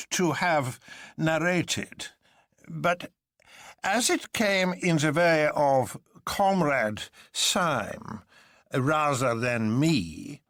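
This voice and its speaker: A man voicing an elderly professor in a story, laboured and elaborately calm, dragging out his words. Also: on the high side, no room sound, studio quality, pitched above the performer's own voice, exaggerated voice. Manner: drags words out ponderously.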